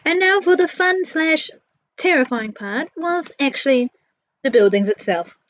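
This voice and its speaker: A woman's voice: high-pitched, animated, unhurried, a bit bright, lo-fi sound. A woman with a New Zealand accent giving a casual, chatty voice-over for a gaming build vlog, candid and self-deprecating. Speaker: video creator, narrator.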